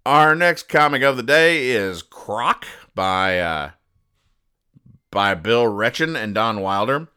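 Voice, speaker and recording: A man hosting a podcast, explaining jokes in a mock-serious, deadpan and over-explaining way. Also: mid-range voice, slow pace, smooth. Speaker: podcast host.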